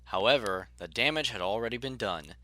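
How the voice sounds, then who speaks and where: A man reading scripted story narration as an audiobook narrator, in a measured, articulate way. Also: fairly low pitch, animated, bright, forceful tone, no room sound.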